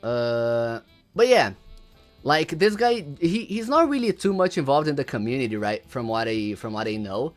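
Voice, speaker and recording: Lively young man, Portuguese native speaker. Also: mid-range voice, moderate pace, faint music behind. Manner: rambling, repeats words, reads chat aloud. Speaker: livestreamer. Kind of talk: casual livestream commentary.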